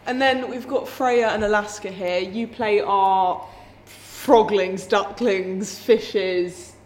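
A woman's voice: mid-range voice, steady tone, slow, darkish tone. A woman interviewing theatre cast members in a chatty way, with frequent affirming murmurs. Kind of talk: casual interview.

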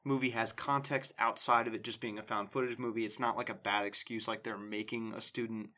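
A man's voice: lowish voice, flat delivery, a little fast, bright, forceful tone. A man co-hosting a podcast, chatting casually and enthusiastically, rambling with lots of fillers. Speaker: podcast co-host. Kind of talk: casual chat.

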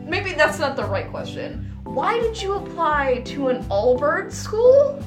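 A woman chatting casually and comedically as a podcast co-host, giggly, animated and prone to swearing. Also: high voice, measured pace, music in the background.

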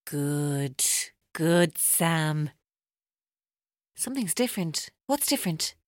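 An unhinged female captor in a radio play, swooping widely in pitch. She is taunting and theatrical, turning from playful to bitterly intense, heard very close and dry. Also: lively intonation, takes it slowly, dry recording. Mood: controlled, taunting. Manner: theatrical, mocking, mercurial, intense. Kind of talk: audio drama acting.

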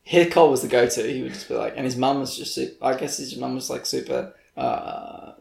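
A man's voice: medium pitch, a little fast, creaky, a little mellow. A young man co-hosting a podcast in casual chat, rambling, laughing and poking fun at himself. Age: young adult. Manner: rambling, laughing, self-mocking. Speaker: podcast co-host. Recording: echoey room.

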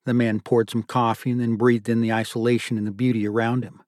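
A man narrating literary fiction from a script in a measured, deliberate storytelling manner. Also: mid-range voice, little pitch movement, a little mellow, no echo, studio quality. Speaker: audiobook narrator.

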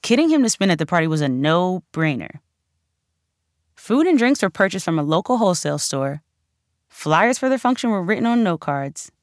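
A woman's voice: fairly low pitch, sing-song, normal speed, no room sound. A woman reading her own nonfiction audiobook in a conversational, emphatic storytelling manner, close on the microphone. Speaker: author narrating own audiobook. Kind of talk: scripted audiobook narration.

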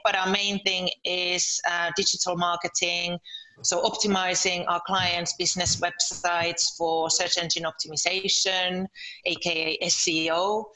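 A woman's voice: fairly low pitch, pushed and bright, low-quality recording. A middle-aged woman from Finland, talking conversationally as an interview guest. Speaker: podcast guest, entrepreneur.

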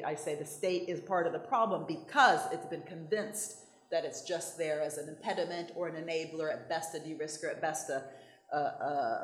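A woman from Italy with an American accent, speaking fast and emphatically to an audience with restarts and quick asides. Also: deep voice, echoey room. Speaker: economics speaker. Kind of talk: lively talk with audience questions.

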